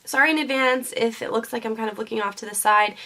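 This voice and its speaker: A woman's voice: a little high, fast, pushed and bright. A young woman vlogging her beauty favorites to her camera, chatty and full of ums, a little sniffly from a sinus infection. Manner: chatty, many ums and likes. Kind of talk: casual product favorites chat. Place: indoors, seated before a camera. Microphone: camera mic.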